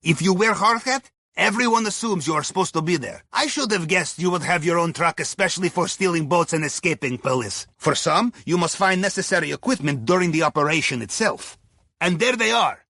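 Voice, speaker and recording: Husky, bright-voiced Russian heist planner. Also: male voice, sits high, expressive, a little fast, no room sound, clean studio sound, full character voice. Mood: wry, businesslike. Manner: wry, folksy, drops articles. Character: wry, upbeat male submarine captain's sidekick and heist planner. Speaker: mission guide. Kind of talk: scripted video game voice lines.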